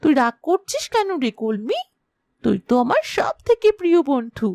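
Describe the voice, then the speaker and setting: Woman voicing an animated, high-voiced innocent girl. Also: normal speed, creaky, no room sound, clean studio sound, heavily acted. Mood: affectionate. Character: cheerful, innocent young girl, affectionate friend. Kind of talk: Bengali fairy-tale cartoon dubbing.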